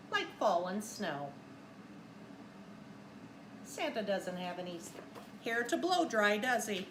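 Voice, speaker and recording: Animated, high-voiced woman reading to young children. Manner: animated, deliberate, simple questions and prompts for young listeners. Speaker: teacher reading a storybook aloud to children. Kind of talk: expressive storybook read-aloud with asides to young children. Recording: echoey room with faint background noise.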